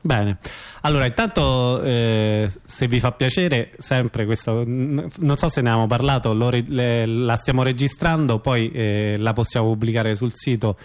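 A man's voice: mid-range voice, moderate pace. A man hosting a casual live radio interview with theatre guests.

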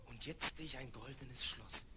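An actor playing a brave, earnest young prince in a children's fairy-tale audio play, with declamatory delivery. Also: male voice, medium pitch, normal speed, lightly acted. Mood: awed. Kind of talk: 1970s German children's audio play acting. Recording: very noisy vinyl record with clicks.

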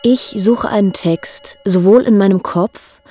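An actress playing a searching, exhausted woman who recites her inner thoughts in terse, deliberate fragments for a literary radio play. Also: medium pitch, mellow, lightly acted. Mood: sombre, introspective.

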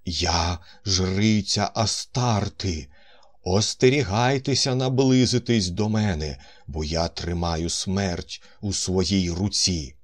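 A man's voice: deep voice, little pitch movement, a little slow, no echo. A man voicing a young woman who poses as a cruel priestess, speaking in a solemn, commanding, menacing way. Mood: solemn menace.

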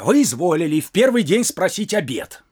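A dogged, deferential tavern waiter in a classic comedy audio play. The voice is high and husky, with lively, plaintive swings in pitch. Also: male voice, normal speed, heavily acted. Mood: servile, insistent. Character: servile but dogged male tavern waiter. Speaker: actor.

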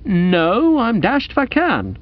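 Man acting a bumbling young gentleman, very lively high pitch. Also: takes it slowly, dull audio, full character voice. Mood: flustered. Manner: bluff, eager, bumbling. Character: amiable, dim, flustered upper-class young gentleman. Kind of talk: dramatised comic audiobook reading.

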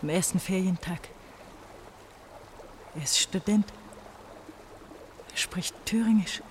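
An actress playing a bitter, feverish eighteen-year-old East German girl in a German radio play, brooding and halting in her delivery. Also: lowish voice, slight background noise. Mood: fearful, brooding.

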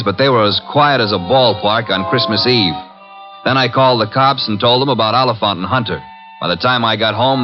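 An actor playing a breezy, streetwise private detective's assistant with an American accent in a 1940s radio drama, slangy and flippant. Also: male voice, medium pitch, lightly acted. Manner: slangy, flippant, hard-boiled. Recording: muffled old radio recording with music.

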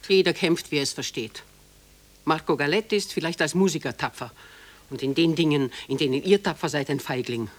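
A woman's voice: deep voice, brisk. An actress playing a proud, protective elderly mother in a German radio drama, formal and emphatic as she pleads for her son. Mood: indignant. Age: older.

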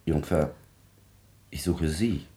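A scheming court secretary in a radio tragedy. His voice is very low, measured and formal, with the cold servility of an intriguer. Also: dark tone. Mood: oily, guarded. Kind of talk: classic German stage tragedy radio acting.

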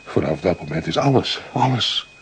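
An actor in a radio play, playing a distraught, guilt-ridden poor painter who stammers, halts and repeats himself. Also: male voice, low voice, sing-song, moderate pace, husky, full character voice. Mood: agitated. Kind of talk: Dutch radio play acting.

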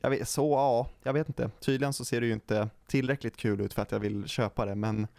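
A man's voice: medium pitch, fairly even intonation, gravelly, a little mellow, dry recording. A man chatting casually about games on a podcast in a rambling, tentative way. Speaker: podcast host.

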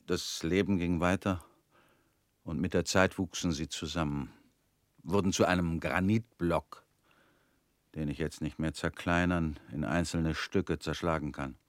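An actor playing a bitter former convict in a radio play, talking in a measured, brooding way. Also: male voice, low-pitched, fairly even intonation, dry recording, studio quality.

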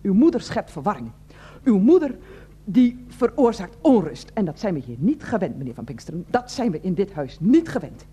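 An actress playing an older, self-righteous head of a residents' association in a radio play, wheezy, formal and repetitive. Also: female voice, mid-range voice, big pitch swings, moderate pace, mellow, slightly rough. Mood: indignant. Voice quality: wheezy, asthmatic breathing. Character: fussy, indignant older woman heading a residents' association. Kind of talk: Dutch radio play acting.

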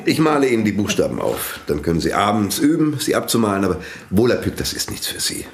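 An actor in a German radio play playing a fervent, self-important priest with a preacherly, impatient manner. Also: male voice, lowish voice, sing-song, fast talker, slight background noise. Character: fervent, domineering priest who invented a world language.

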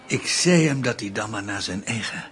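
A man's voice: fairly low pitch, animated, fairly bright tone. A man playing a shaken, hesitant priest recovering from an attack, in a radio drama. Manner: halting, unsure, careful. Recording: radio play with noticeable background noise.

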